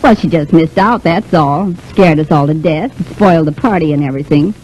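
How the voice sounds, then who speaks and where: An actress playing a quick-thinking, bossy, protective showgirl friend in a vintage radio soap, talking briskly and chattily. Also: low-pitched, darkish tone, close to an everyday voice. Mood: breezy, bluffing. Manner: brisk, chatty, takes charge. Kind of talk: 1930s-40s radio soap opera acting. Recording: muffled, hissy vintage radio recording.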